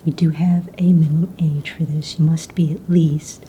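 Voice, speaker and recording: A woman playing a calm, businesslike young fantasy egg hunt official in a breathy, soft-spoken ASMR roleplay, casual and matter-of-fact. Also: deep voice, normal speed, slight background noise, close to an everyday voice, low-quality recording. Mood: calm, polite. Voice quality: breathy, whispery.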